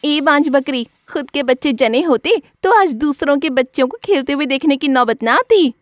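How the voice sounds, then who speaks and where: A woman voicing a scornful, bullying female deer in an animated fable, sneering and cutting. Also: sits high, moderate pace, no room sound, voice pushed up.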